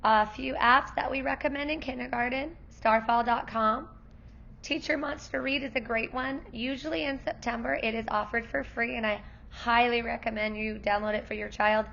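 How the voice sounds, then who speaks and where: Woman, kindergarten teacher, hoarse from losing her voice, friendly parent-night explainer, gravelly tone, dull lo-fi recording. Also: a little high, a bit bright, a little hiss or hum. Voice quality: gravelly, worn voice.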